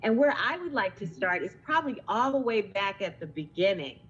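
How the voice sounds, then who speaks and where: A woman's voice: medium pitch, very animated, a little fast, fairly bright tone. A woman interviewing a guest as co-host in a conversational style. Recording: dull lo-fi, faint noise.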